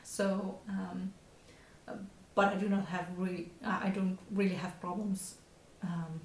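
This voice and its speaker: A woman's voice: mid-range voice, little pitch movement, unhurried. A woman in her thirties with a Dutch accent, hosting a knitting podcast in a hesitant, casual chat full of fillers and little laughs. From Netherlands. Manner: hesitant, many fillers and restarts, frequent small laughs. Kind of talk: casual show-and-tell chat. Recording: echoey room with faint background hiss.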